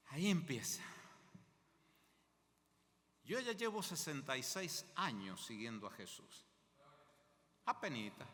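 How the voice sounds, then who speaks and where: An older Argentine man preaches with a bright, forceful voice that rises and falls in lively swings. He talks to the congregation in a conversational way and often checks in with them through questions. His voice carries a long echo, as if it were recorded in a church hall. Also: a little high. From Mendoza, Argentina. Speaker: guest preacher. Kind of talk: sermon.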